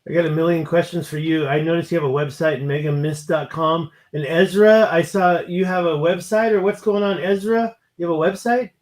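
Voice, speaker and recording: A man's voice: fairly high pitch, fairly quick, few pauses. A man hosting a casual video-call panel, abrupt and repeating his questions. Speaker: host.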